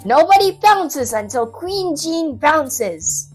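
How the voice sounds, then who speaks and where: A woman's voice: high-pitched, very animated, slow pace, soft background music, pitched above the performer's own voice, heavily acted. A woman voicing a bossy schoolgirl bully with a snarling, commanding manner in a children's storybook. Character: bossy, bullying schoolgirl, self-styled recess queen. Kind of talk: children's storybook read-aloud.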